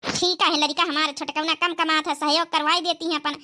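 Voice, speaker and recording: A woman's voice: sits high, little pitch movement, brisk, bright, forceful tone, a little hiss or hum. A woman voicing an elderly, grumbling but fond village mother-in-law with an Awadhi accent in a comedy cartoon. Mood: matter-of-fact. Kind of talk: rural family comedy cartoon dialogue.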